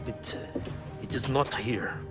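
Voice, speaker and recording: An actor playing a tense, dutiful shinobi warrior in a dramatic game cutscene. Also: male voice, medium pitch, expressive, a little slow, natural voice. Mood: troubled. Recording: music behind the voice.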